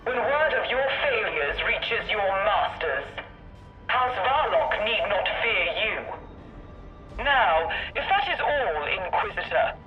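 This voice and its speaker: An actress playing a haughty, scornful noble house ruler. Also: female voice, mid-range voice, sing-song, slow. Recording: dull, echoey, with music.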